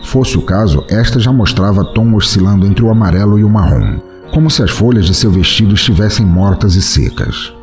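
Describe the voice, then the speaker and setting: A man's voice: on the low side, lively intonation, a little fast, few pauses, a little mellow, soft background music. A man with a Brazilian accent narrating a scripted fantasy story as the storyteller of an audio drama.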